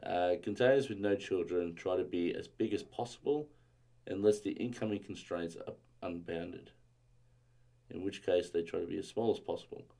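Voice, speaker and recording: Man, low voice, slightly strained, casual live-stream coding, reads docs aloud, desk mic. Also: moderate pace, gravelly, warm tone. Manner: muttering while reading documentation aloud.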